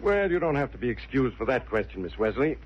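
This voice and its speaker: Blustery police inspector, vintage radio. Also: male voice, mid-range voice, sing-song, fast, husky. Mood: needling. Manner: blustery, sarcastic, insistent. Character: brash, needling male police inspector. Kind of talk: 1940s radio acting. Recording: dull, hissy old radio recording.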